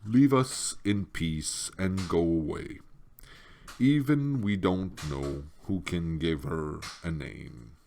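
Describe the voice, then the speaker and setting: Man voicing an ancient wheezy turtle crone, drawling slowly. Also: deep voice, lively intonation, gravelly, darkish tone, a little hiss or hum, exaggerated voice. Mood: grumbling, weary. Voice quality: gurgling, wheezy. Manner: slow, drawling, resigned speech. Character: ancient, weary, apathetic giant female turtle, irritable.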